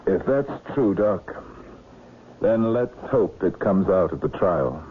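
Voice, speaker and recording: An actor playing a stern, no-nonsense police inspector in a 1970s radio drama, measured and matter-of-fact. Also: male voice, deep voice, animated. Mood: measured, deliberate. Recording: muffled vintage radio recording with hiss.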